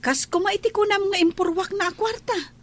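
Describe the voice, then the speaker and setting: A woman's voice: extremely high pitch, normal speed. A woman with a northern Philippine accent acting a pious, practical friend in a radio drama. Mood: sympathetic, advising.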